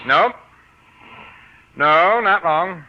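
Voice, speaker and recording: A man's voice: high-pitched, animated, drawn-out words, exaggerated voice. An actor playing a coldly scheming, wealthy businessman in 1940s radio style, brusque and commanding. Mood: coolly pointed. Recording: dull vintage radio recording.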